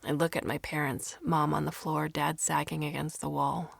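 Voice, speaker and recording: A woman reading a first-person short story as narrator, in a dramatic, expressive manner. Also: sits low, normal speed, creaky, breathy edge, no room sound.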